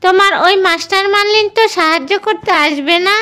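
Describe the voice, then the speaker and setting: Squeaky, excitable little cartoon girl. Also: brisk. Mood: curious, anxious. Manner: chatty, questioning, excitable. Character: eager, easily frightened young girl who dreams of magic. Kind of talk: Bengali cartoon voice acting.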